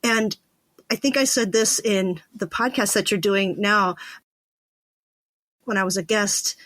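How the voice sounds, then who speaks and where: Female interviewer, medium pitch, conversational and reflective, very dry room sound. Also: measured pace, fairly bright tone, no room sound. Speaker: podcast host and interviewer.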